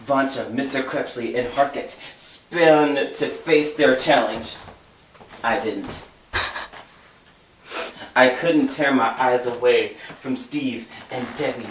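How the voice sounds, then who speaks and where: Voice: medium pitch, takes it slowly, dull audio. A giggly, tipsy amateur reader doing a casual dramatic read-aloud of a novel and stumbling over words. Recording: roomy, noisy, distant mic.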